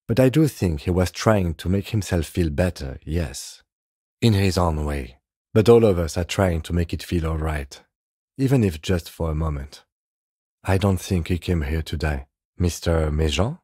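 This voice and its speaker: Composed police detective, video game dialogue, husky, dark tone, close dry recording, restrained, dutiful. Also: male voice, sits low, animated, dry recording, full character voice. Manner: measured, restrained, matter-of-fact.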